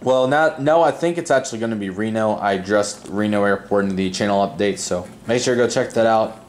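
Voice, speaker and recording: A man's voice: lowish voice, a little fast. A man hosting a hobby video channel, talking in a casual, earnest, rambling unscripted style. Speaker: host of a model airport video channel. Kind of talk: casual unscripted vlog voice-over.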